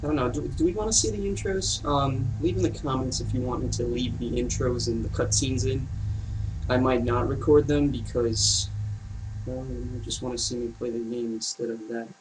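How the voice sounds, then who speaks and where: A man's voice: mid-range voice, steady tone, moderate pace, noisy background. A young man giving casual, hesitant, rambling let's play commentary as a gaming video host. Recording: slightly distant mic, loud game music. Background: loud video game music.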